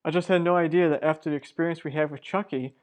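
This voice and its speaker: A woman playing a mild, kindly husband in an old-time radio soap, talking in a measured, affectionate way. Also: low-pitched, steady tone, darkish tone, close to an everyday voice. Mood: measured, reflective. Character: mild, steady, kindly husband devoted to work.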